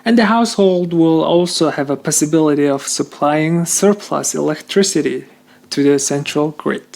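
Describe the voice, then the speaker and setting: A man's voice: on the high side, normal speed, creaky. A man presenting a read news bulletin over a shortwave radio broadcast, reading carefully with some slips in phrasing.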